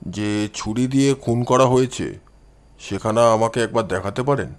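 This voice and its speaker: A man puts on a shrewd amateur detective for an audio story, dropping into a low register. The talk is calm, measured and politely modest. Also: natural voice.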